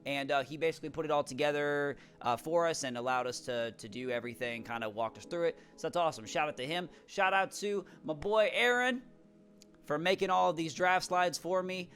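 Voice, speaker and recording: A young man vlogging on a headset in a small room at home, talking about his draft league in a hyped, excitable way and saying he is losing his voice. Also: fairly high pitch, brisk. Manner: hyped, shout-out heavy, repeats words when excited. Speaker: YouTuber and draft league coach. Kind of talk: energetic casual vlog talk.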